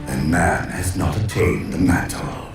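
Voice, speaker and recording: An imperious ancient alien villain from a video game, with a deep, gravelly voice. He pronounces his menace slowly and grandly, cold with contempt, in a vast echoing space. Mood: scornful, menacing. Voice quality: gravelly, booming. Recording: cavernous echo, distant, music underneath.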